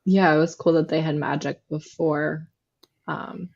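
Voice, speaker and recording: A woman's voice: low-pitched, level tone, unhurried, creaky, darkish tone, slightly rough. A woman chatting casually as a co-host in a book discussion, on slightly muffled sound. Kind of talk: casual chat.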